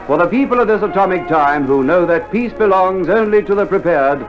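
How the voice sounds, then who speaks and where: A man's voice: high voice, lively intonation, fairly quick. A man announcing a programme in a dramatic, scripted 1960s radio style. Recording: dull vintage vinyl, loud theme music. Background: loud music.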